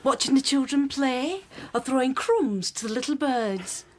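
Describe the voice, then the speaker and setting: A worldly café woman in a radio play. Her voice is high and swings freely in pitch, and she is frank, teasing and bluntly probing. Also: expressive, measured pace, a little mellow, a little hiss or hum. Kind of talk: radio drama dialogue.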